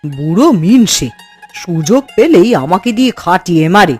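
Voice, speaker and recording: A sharp-tongued old village wife from a cartoon, acted by a woman, grumbles and scolds. She sounds querulous and stubborn. Music plays behind her. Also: lowish voice, big pitch swings, normal speed, slightly rough. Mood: grumbling. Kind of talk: cartoon voice acting.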